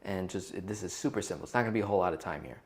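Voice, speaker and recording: A man's voice: low voice, racing. A man co-hosting a casual, interactive coaching talk online, putting questions to his listeners and often checking in with them. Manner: conversational, asks questions and checks in with "right?". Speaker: co-host leading an interactive online seminar. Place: live video call session.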